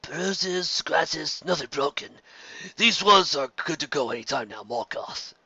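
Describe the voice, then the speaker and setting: A man's voice: fairly high pitch, expressive, a little slow, bright, forceful tone, breathy edge, no room sound, highs cut off, heavily acted. A man voicing a thin cloaked creature, a villain's henchman with a reedy voice, in a fantasy story. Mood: matter-of-fact.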